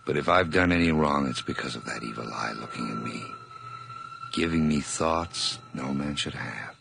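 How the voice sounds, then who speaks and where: An actor narrating as a nervous, obsessive man confessing a murder in a radio drama, his delivery intense and feverish, moving between hushed whispers and sudden outbursts. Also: extremely low pitch, moderate pace, vocal fry, music in the background, heavily acted.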